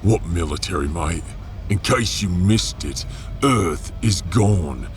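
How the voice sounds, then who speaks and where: A man playing a rough, defiant refugee ringleader in a sci-fi audio drama, colloquial and taunting. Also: low-pitched, lively intonation, slow pace, soft background music, voice pushed down.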